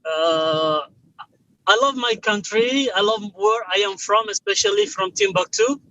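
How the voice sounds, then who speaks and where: Man, guest on a call, high bright tone, animated storyteller. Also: measured pace, dull audio. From Mali. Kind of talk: conversational interview.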